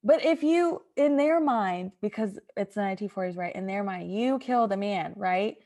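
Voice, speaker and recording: A woman's voice: medium pitch, moderate pace, warm tone. A woman hosting an improvised comedy podcast, talking in a casual, chatty style. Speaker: podcast host.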